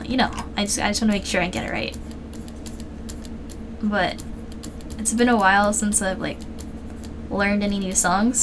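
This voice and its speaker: Female streamer, casual rambling chat, low-key tired delivery, voice a bit worn, faint typing behind. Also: noisy background. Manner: rambling, chatty, many fillers and restarts. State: low-energy, throat a little worn. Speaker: live streamer and artist. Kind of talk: casual live-stream chat while drawing.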